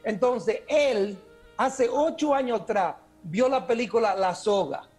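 A middle-aged Dominican man with a Cibaeño accent, talking on a webcam video call as an actor guest, animated and often restarting his words. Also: sits high, measured pace, slightly rough, faint music behind. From Dominican, raised in the United States. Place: small room with posters indoors.

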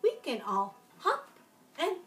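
A young woman reads a picture book to small children in a high, swooping voice. She goes slowly and plays up the phrases with exaggerated storyteller expression, with faint music in the recording. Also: huge pitch swings. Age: young adult. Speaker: children's library storytime reader. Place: room at home, in front of a folding screen.

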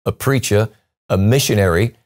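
A middle-aged man with a low voice hosts a broadcast interview. He speaks as a studio interviewer, introducing and questioning a guest on a lapel mic. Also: normal speed, a little mellow. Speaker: talk show host and interviewer. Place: television studio, seated at a desk.

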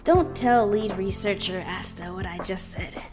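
A woman's voice: mid-range voice, measured pace, lightly acted. An actress voicing a stoic, dutiful young male security guard in an anime game, modest, earnest and a little hesitant. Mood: shy, sincere. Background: game background music.

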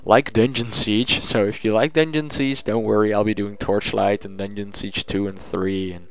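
A man's voice: fairly low pitch, steady tone, moderate pace. A man talking casually in an audio log as a gaming channel host, chatty and thinking aloud.

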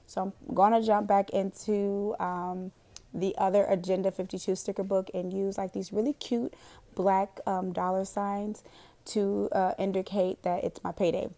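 A young woman with a raspy, hoarse voice from a cold, chatting casually in a voiceover while setting up her monthly budget planner, rambling with lots of fillers. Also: mid-range voice, flat delivery, measured pace, warm tone. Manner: chatty, rambling, with frequent "um" and laughs. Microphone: voiceover recorded separately from the footage.

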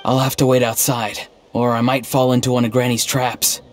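A man's voice: medium pitch, level tone, moderate pace, slight background noise, natural voice. Man playing an earnest, understated young hero. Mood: earnest, pleading. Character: earnest, naive, deadpan young man, an odd gentle hero. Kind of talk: video game voice acting.

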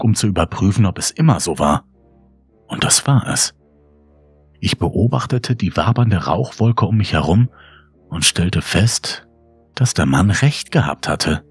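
A man with a husky, breathy voice reads a scripted horror story in a measured, suspenseful way with deliberate pauses. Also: low-pitched. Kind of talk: dramatic scripted audiobook narration.

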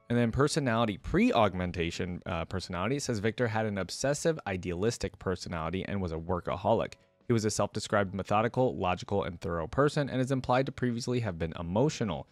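A man's voice: on the low side, darkish tone, no room sound. A young man giving casual reaction commentary, reading aloud and musing conversationally into a close desk microphone. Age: young adult. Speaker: reaction video host. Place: indoor room at a computer desk. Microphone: close desk microphone with headset on.

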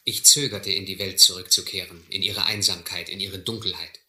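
An actor playing an earnest, educated man in a sea adventure radio play, with a measured, literary delivery. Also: sits low, steady tone, pushed and bright. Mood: grave, reflective. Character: earnest, educated castaway man narrating his sea ordeal. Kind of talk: German radio play acting and narration. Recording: roomy, faintly noisy old radio play.

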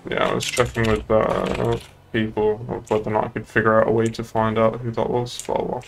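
A man's voice: fairly low pitch, completely flat delivery, a little fast, vocal fry, a little hiss or hum. A young British man chatting casually and laddishly on a gaming livestream. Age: young adult. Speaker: game streamer. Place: indoor room at a streaming desk.

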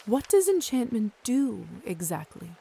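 A woman's voice: fairly high pitch, big pitch swings, a little slow, creaky, warm tone, husky, full character voice, poor audio. An actress playing a proper, curious young woman newly turned vampire in a game, earnest and polite. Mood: inquisitive. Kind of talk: video game voice acting. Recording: game music behind the voice.

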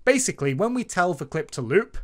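A man's voice: high-pitched, big pitch swings, brisk. A man hosting an informal step-by-step tutorial, explaining things in an emphatic, sing-song way. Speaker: tutorial host.

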